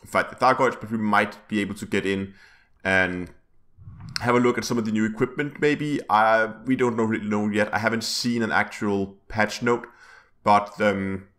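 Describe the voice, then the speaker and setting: A man hosting his gaming channel's weekly news vlog, his voice a little rough, rambling with many fillers and restarts. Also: lowish voice, steady tone, normal speed, fairly bright tone. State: slightly rough voice.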